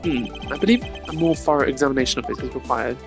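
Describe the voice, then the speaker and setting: A performer voicing a composed, logical male prosecutor who speaks in a measured, formal and precise way. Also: mid-range voice, over music, natural voice. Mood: dry.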